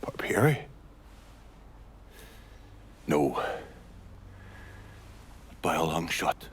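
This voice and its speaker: A man voicing a hard-bitten older gang boss in a video game cutscene, with a slow, bitter drawl. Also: rumbling low, sing-song, breathy edge, full character voice. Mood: grim.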